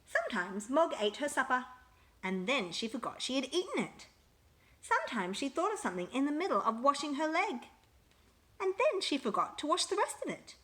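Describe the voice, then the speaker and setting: Lady reading a picture book out loud, kinda high voice, really expressive and lively. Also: moderate pace. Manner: expressive, emphatic storytelling. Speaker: storyteller. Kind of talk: read-aloud children's picture book narration.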